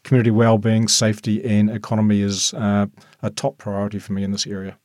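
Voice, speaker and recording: A middle-aged man with a New Zealand accent gives earnest answers, pausing often on "uh." His voice is on the low side, and the close, dry recording has no room echo. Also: completely flat delivery, darkish tone. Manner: earnest, halting with uhs. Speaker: local board member. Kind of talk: podcast interview answers.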